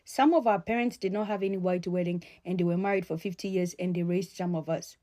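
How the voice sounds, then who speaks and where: A woman speaking straight to her audience in an opinion vlog, emphatic and admonishing, repeating key phrases deliberately. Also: fairly low pitch, fairly even intonation, mellow. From Ghana. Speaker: sociologist addressing followers.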